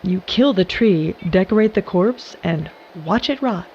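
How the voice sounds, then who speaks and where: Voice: mid-range voice, lively intonation, unhurried, darkish tone, muffled recording, slight background noise. An actor playing a bantering, amused and incredulous listener to grim tales in a conversational audio drama. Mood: dry amused.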